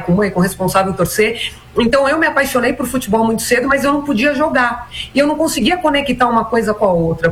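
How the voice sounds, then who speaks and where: A woman in her forties from Brazil, a former national team player answering interview questions in a measured, reflective way with many small fillers. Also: fairly high pitch, a little hiss or hum. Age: 40s. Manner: measured, frequent "né" and "então" fillers.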